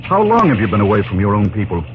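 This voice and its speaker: An actor playing a commanding, watchful expedition captain in an old-time radio drama. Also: male voice, fairly low pitch, sing-song, fast, noisy background. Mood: concerned. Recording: tinny old radio recording, music behind.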